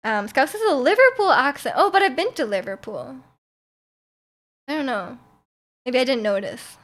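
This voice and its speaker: A woman's voice: high-pitched, sing-song, moderate pace, fairly bright tone. A young woman streamer chatting casually with her viewers, laughing often and restarting her sentences. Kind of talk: casual chat reading viewer comments.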